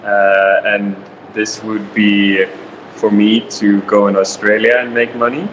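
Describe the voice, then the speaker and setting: Slow, low-voiced young man. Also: flat delivery, a bit bright, highs cut off, a little hiss or hum. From Sweden. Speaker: interview guest. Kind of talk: casual interview answers.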